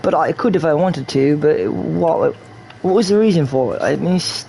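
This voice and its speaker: A man's voice: on the high side, moderate pace, creaky, mellow, slight background noise. A man from New York rambling through casual game stream commentary, repeating himself and slipping in and out of a put-on English accent. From New York, USA.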